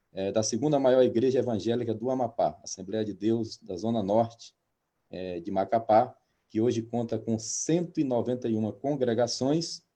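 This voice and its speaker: Pastor in his 50s hosting, lowish soft dark voice, lots of hesitant filler sounds. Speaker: pastor and interview host. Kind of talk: interview, reading a guest introduction.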